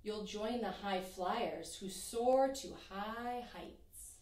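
A young woman teacher reading a rhyming children's picture book aloud in an expressive, sing-song way. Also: mid-range voice, very slow, far from the mic, slight background noise. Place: small room in front of a painted backdrop. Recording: echoey room, voice from off-mic.

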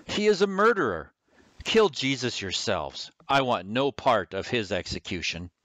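A man acting a haughty, exasperated Roman governor in an amateur biblical radio drama, speaking deliberately and imperiously. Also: medium pitch, big pitch swings, a bit bright, no echo.

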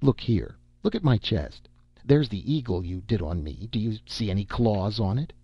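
A man's voice: lowish voice, animated, moderate pace, breathy edge. A man voicing an aggrieved tattooed circus man, defiant and argumentative, in a storybook reading. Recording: dull, roomy amateur audiobook recording.

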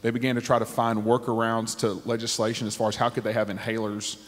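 A man on a discussion panel, speaking in a measured way with frequent ums, into a handheld mic on a theater stage. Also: lowish voice, level tone. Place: large theater stage with audience. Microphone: handheld microphone. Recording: long hall reverb from a theater.

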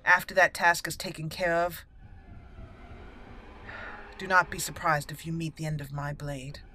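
Bright-voiced chatty woman gamer. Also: low-pitched, fairly even intonation, a little slow, a little hiss or hum. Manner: chatty, reactive, thinks aloud. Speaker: gaming channel host. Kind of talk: casual let's play commentary.

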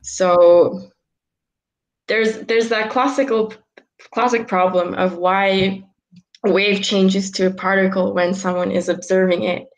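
Slow-talking woman in her twenties. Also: mid-range voice, steady tone, poor audio. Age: 20s. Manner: unhurried, with frequent ums and soft restarts. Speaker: guest, philosopher. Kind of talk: casual video-call interview. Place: small room at home.

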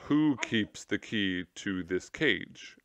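A man's voice: fairly low pitch, expressive, slow, a bit bright, no echo. A man voicing a practical, determined male mouse who speaks plainly and steadily. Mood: persistent.

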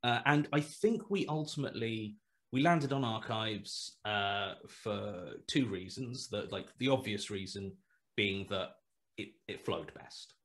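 A man in his thirties muses through a headset on a video call, his lowish voice circling back on itself with fillers and restarts. He picks his words slowly as he thinks aloud. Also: fairly bright tone. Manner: rambling, hesitant, many restarts and fillers. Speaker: guest writer. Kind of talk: casual video call interview. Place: small room at home.

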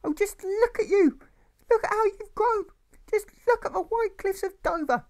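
A man's voice: extremely high pitch, normal speed, a little mellow, voice pushed up. A man voicing a doting, evasive mother in an audiobook story, gushing and effusive.

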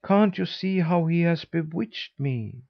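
A man's voice: fairly high pitch, animated, slow pace, vocal fry, lo-fi sound, pitched above the performer's own voice, full character voice. A man voicing a small, hot-tempered boy turned elf, in a storybook reading. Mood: pleading.

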